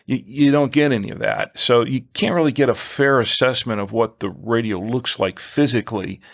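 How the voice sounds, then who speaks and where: A man's voice: mid-range voice, moderate pace, creaky. A man co-hosting a podcast, talking through a product in a conversational way, hesitating and repeating himself as he goes. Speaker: podcast co-host.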